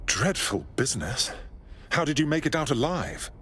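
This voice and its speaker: A genteel British resistance recruit in a game cutscene. The pitch moves around expressively while the manner stays polite, arch and dryly witty. There is audible ambient noise behind the voice. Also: male voice, very animated, moderate pace, a bit bright. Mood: dry, concerned. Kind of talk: video game cutscene acting.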